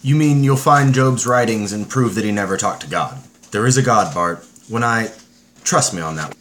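Guy doing a low-voiced careful middle-aged scientist, ex army, earnest. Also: normal speed, fairly bright tone, slight background noise, close to an everyday voice. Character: wary middle-aged American scientist, ex-soldier, devout. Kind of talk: audio drama acting.

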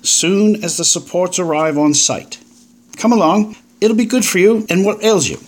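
An actor with a British accent playing a breezy, upbeat forty-year-old paleontologist full of old-fashioned slang. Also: male voice, sits high, normal speed. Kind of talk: audio drama acting.

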